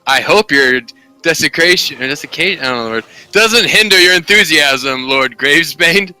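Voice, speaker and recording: A man voicing a coy, seductive highborn elf lady from a romance novel. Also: animated, normal speed, pushed and bright, soft background music. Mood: coy, swooning. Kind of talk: dramatic romance reading.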